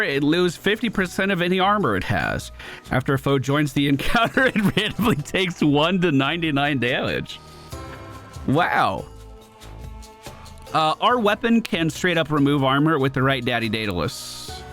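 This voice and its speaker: A man's voice: a little high, sharp tone. A man giving casual video game commentary, playfully thinking aloud and repeating his phrases. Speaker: gaming video host.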